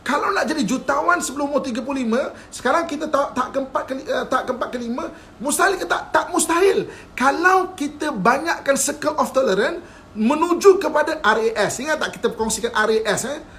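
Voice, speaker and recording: A man's voice: very high voice, lively intonation, a little fast, fairly bright tone, slight background noise. A man with a Malaysian Malay accent giving a live-streamed religious lecture. He is playful, keeps asking whether his listeners follow, and often chuckles. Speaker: religious teacher.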